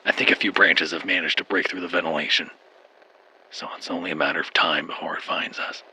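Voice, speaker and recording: A man narrating a scripted horror story as a frightened, doomed soldier, in a grim, deliberate, formal manner. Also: sits low, steady tone, bright, forceful tone, breathy edge, heavily acted, poor audio. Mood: doomed dread.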